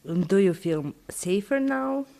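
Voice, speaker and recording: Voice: mid-range voice, expressive, measured pace, creaky, darkish tone. An interviewer reading questions with some fillers.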